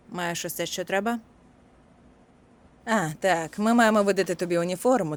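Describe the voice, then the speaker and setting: A woman's voice: on the low side, close to an everyday voice. A woman voicing a jaded young shop clerk in a cartoon, casual and easily exasperated. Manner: casual, weary, scolding when annoyed. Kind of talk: animated comedy fan dub.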